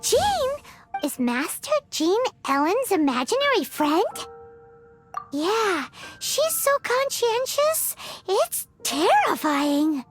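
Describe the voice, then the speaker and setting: A woman voicing a tiny, excitable fairy companion in an anime video game. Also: extremely high pitch, slow, music in the background, full character voice. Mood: startled. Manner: refers to self in third person, exclamatory.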